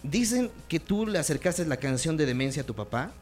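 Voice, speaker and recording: A man, a voice sitting a little on the high side, runs a loose, joking radio chat. He keeps the questions coming quickly. Soft music plays faintly behind him. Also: sing-song. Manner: chatty, jokey, asks quick questions. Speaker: radio host. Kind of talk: casual radio interview.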